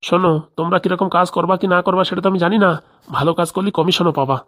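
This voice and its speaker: A woman's voice: fairly low pitch, rapid-fire, fairly bright tone. A woman voicing a crooked, bossy male village boss in a comedy cartoon, scheming aloud with a rural Bengali accent.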